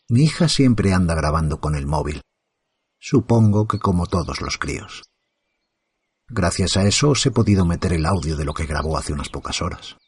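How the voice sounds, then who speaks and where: Actor como padre atormentado, voz grave y rasgada. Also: male voice, deep voice, slightly rough, heavily acted. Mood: haunted, somber. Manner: somber, measured, dramatic. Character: tormented father haunted by evil, telling his story. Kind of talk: first-person horror narration.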